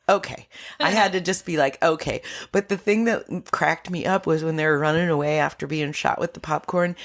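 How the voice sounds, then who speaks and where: Woman, lower-pitched, very lively intonation, casual podcast co-host, giggly, playful mock quotes. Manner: giggly, animated, breaks into mock quoted voices. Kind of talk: casual movie-review banter.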